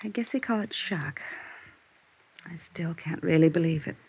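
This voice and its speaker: A dull vintage radio recording carries a bitter, guarded widow. Her voice has a smoky creak, and she confides in a weary, wistful, halting way, in the manner of 1950s radio noir. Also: deep voice, slight background noise. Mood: wistful, numb. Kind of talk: 1950s radio drama acting.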